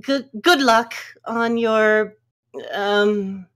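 A woman reading forum posts aloud for a comedy podcast in a halting, mock-earnest way. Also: on the high side, fairly bright tone, smooth. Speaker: podcast reader.

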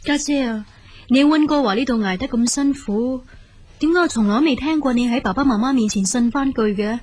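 A regretful, grateful younger sister in a Hong Kong Cantonese radio play. Her voice sits fairly high and has a distinctly husky, breathy grain. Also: fairly quick, a little mellow. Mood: concerned. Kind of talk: radio drama acting.

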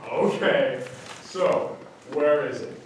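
A man's voice: fairly high pitch, slow pace. A young man on stage playing a nosy, wisecracking sitcom neighbor with exaggerated, theatrical delivery. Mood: teasing, curious. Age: young adult. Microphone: far camera. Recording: very echoey theater, distant camera, faint noise.

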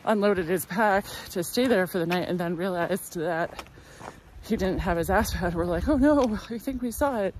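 A woman's voice: mid-range voice, moderate pace, a little mellow, a little hiss or hum. A woman in her thirties vlogging while hiking a forest trail, talking casually and wryly into a phone held at arm's length. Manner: chatty, wry, breathy from hiking. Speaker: thru-hiker vlogger. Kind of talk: casual walking vlog.

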